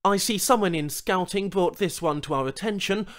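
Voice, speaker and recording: A man's voice: sits high, moderate pace, a bit bright, pitched above the performer's own voice. A man voicing an impatient, brusque corporate executive in an audiobook story. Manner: brusque, absent, imperious.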